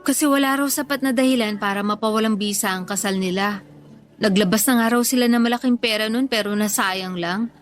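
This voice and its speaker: A woman's voice: mid-range voice, fairly even intonation, brisk, soft background music, close to an everyday voice. An actress playing a coy, defensive young woman in love, in radio drama dialogue. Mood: defensive, sheepish.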